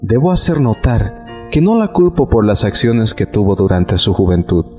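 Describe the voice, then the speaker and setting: A man's voice: on the low side, big pitch swings, normal speed, a little mellow. A man in his thirties from Bolivia, praying solemnly and giving his testimony as a prison pastor. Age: 30s. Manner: solemn, prayerful, with repeated invocations. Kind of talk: prayer, scripture reading and personal testimony. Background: faint instrumental music.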